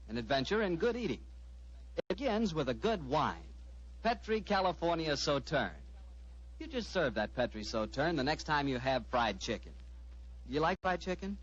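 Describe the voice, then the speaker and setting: Animated American radio announcer, folksy. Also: male voice, medium pitch. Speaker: announcer and host. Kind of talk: 1940s radio sponsor pitch and hosting. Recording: dull vintage radio recording.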